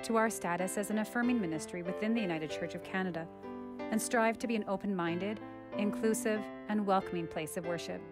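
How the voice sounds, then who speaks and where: A woman's voice: medium pitch, a little fast. Woman reading liturgy in a reverberant hall. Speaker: church worship leader. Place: church. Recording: reverberant hall, faint music.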